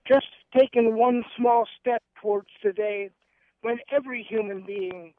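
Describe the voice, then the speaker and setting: A man's voice: sits high, steady tone, unhurried, no echo. A man guest on a radio show, talking with hesitant restarts and reading his poem aloud. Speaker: guest poet and activist. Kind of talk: radio interview and poetry reading. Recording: tinny phone line.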